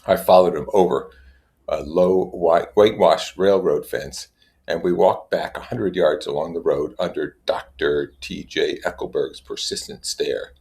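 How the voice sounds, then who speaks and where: A man with a very deep voice and plenty of fry reads literature aloud. His delivery is measured for the text and informal when he comments on it. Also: darkish tone. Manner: measured reading with informal asides. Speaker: reader and commentator. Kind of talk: literary read-aloud with casual explanatory comments.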